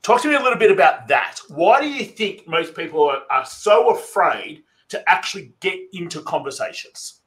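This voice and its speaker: An Australian man hosts a podcast in an eager, chatty way, with the odd "um" along the way. His voice is bright and a little high, with a lot of edge. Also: expressive, normal speed, rough recording. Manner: enthusiastic, conversational with fillers. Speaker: podcast host. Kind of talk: podcast interview.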